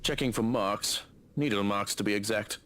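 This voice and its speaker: A man voicing a calm, stern male butler and bodyguard who speaks in a measured, matter-of-fact way. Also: fairly low pitch, close to an everyday voice.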